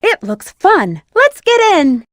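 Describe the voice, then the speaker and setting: A woman's voice: sits high, huge pitch swings, takes it slowly, fairly bright tone, dry recording, clean studio sound, full character voice. A woman voicing a sly, playful bat treasure hunter in a video game, teasing and breezy.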